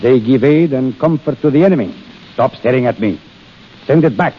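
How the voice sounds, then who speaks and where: An actor playing a jealous, imperious army captain, brusque and commanding, in 1930s radio drama style. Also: male voice, mid-range voice, moderate pace, close to an everyday voice. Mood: cold, irritated. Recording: muffled, hissy, clicking 1930s radio recording.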